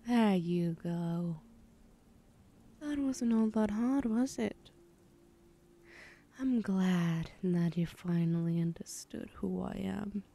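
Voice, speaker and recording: Woman acting a slow, whispering, menacing villainess. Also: medium pitch, mellow. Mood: teasing, smug. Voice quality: breathy, whispered. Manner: slow, taunting, condescending. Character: menacing, teasing female villain abductor with obsessive curiosity. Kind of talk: ASMR roleplay.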